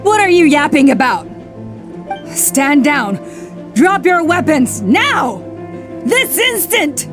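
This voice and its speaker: Casting: stern, self-sacrificing game warrior, very high and slow, imperious delivery, music underneath. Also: female voice, very animated, full character voice. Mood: commanding. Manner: imperious, formal, emphatic. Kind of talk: dramatic video game voice acting.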